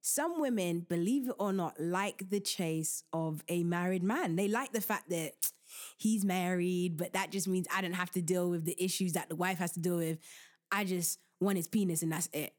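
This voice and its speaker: A young woman hosting a podcast, giving casual relationship advice in an animated, joking and preachy way. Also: fairly low pitch, normal speed. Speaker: podcast host.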